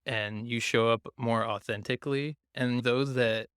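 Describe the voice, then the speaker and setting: A man from Taiwan, a guest on a podcast, talking reflectively and casually, often pausing to search for words. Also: medium pitch, steady tone, fairly bright tone, clear, resonant tone, no room sound, studio quality. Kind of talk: casual conversation.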